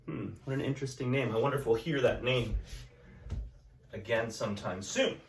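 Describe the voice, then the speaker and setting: A man teaching a class, chatting gently and reading a picture book aloud to children. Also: medium pitch, moderate pace. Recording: echoey room, mic at a distance.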